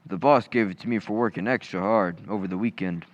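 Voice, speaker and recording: A man's voice: lowish voice, fairly quick, dry recording, highs cut off, close to an everyday voice. A man playing a dim, good-natured teenage busboy in radio comedy, with earnest, folksy, ungrammatical speech. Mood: earnest, confused. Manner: folksy, ungrammatical, earnest gee-whiz delivery. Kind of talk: old-time radio comedy acting.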